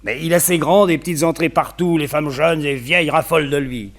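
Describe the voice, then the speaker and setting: An actor playing a well-connected, opinionated society gentleman in a French radio detective play, talkative, confiding and wryly gossipy. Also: a little high, fairly quick. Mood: suspicious, confiding.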